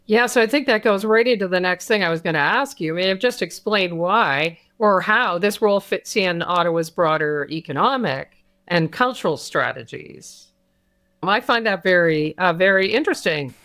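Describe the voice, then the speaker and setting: A woman's voice: fairly low pitch, lively intonation, moderate pace, fairly bright tone. A woman hosting an interview, asking questions in a friendly, easygoing way. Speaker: interviewer, host.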